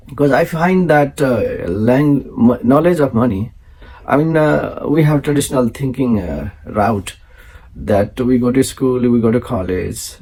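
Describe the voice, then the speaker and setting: A man, a Maithili speaker, introduces his show in a rough, husky voice. His tone is dark and mellow, and his speech halts on frequent fillers and restarts. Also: mid-range voice, low-quality recording. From South Asia. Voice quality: gravelly, husky. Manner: halting, many fillers and restarts. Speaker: host.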